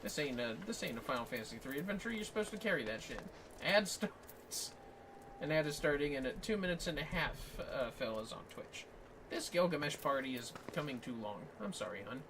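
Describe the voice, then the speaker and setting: A man's voice: fairly high pitch, expressive, brisk, noisy background. A man livestreaming a game and chatting casually as he plays. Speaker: livestream gamer. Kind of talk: casual gameplay chat. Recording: music playing, distant mic. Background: game music.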